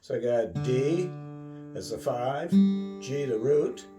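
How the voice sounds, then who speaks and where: A man giving an informal guitar lesson, thinking aloud in a musing, halting way and often checking in with a questioning tag. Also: mid-range voice, lively intonation. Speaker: guitar teacher. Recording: roomy, distant mic, loud guitar. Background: acoustic or electric guitar playing.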